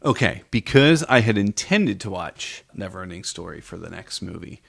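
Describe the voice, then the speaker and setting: A man's voice: low-pitched, sing-song, moderate pace, gravelly, a bit bright, slightly rough. A man hosting a podcast in a casual, conversational style. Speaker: podcast host.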